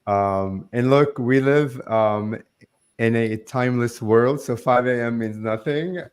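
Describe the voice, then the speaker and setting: A man's voice: medium pitch, slow, smooth. Earnest man in his forties. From Lebanon. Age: 40s. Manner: earnest, loose, heavy with "like" and "um". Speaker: guest, spiritual channel. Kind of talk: conversational interview.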